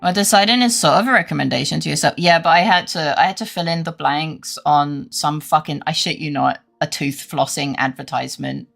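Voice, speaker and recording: A young woman streamer chatting casually while gaming, animated and swearing freely with frequent laughs. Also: low voice, a little fast, creaky, hardly any pauses, soft background music. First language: English.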